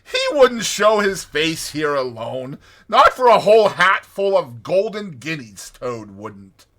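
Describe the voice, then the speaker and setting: A man's voice: fairly high pitch, very animated, slow, a bit bright, pitched above the performer's own voice, heavily acted. A man voicing a hearty, good-natured, capable water rat in a dramatized children's storybook, with an emphatic, jaunty manner. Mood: amused, hearty.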